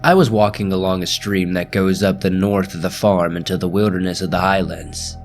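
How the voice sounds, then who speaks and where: Low-voiced man narrating scary stories. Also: moderate pace, soft background music. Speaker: host and narrator of a scary story channel. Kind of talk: scripted horror story narration.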